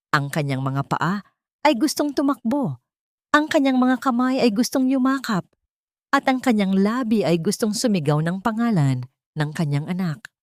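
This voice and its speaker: A woman with a fairly low voice narrates a scripted drama. The recording is dry, and the delivery is that of a dramatic storyteller. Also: darkish tone, no room sound.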